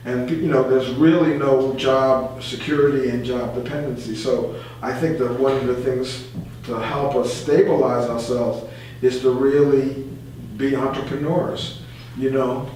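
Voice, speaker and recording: A man recorded in a heavily reverberant room with faint noise behind him. He talks in an earnest radio discussion, choosing his words slowly and with pauses. He sounds like a community guest explaining his views. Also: mid-range voice, fairly even intonation, darkish tone. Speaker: community board member and guest. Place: echoey indoor room. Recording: very echoey room, distant mic, faint hum.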